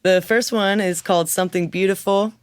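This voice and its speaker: A musician guest with a slightly raspy voice, chatting easily and laughing often in an interview. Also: mid-range voice, steady tone, a little fast, no room sound, clean studio sound. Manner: easygoing, laughs often, talks freely. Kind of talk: casual interview chat.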